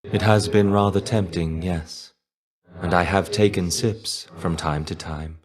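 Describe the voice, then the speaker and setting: A man playing a weary, melancholy shade of a fallen ancient Greek warrior in a video game, measured, wistful and dryly understated. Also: sits low, fairly even intonation. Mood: resigned, reflective. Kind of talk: video game character voice lines.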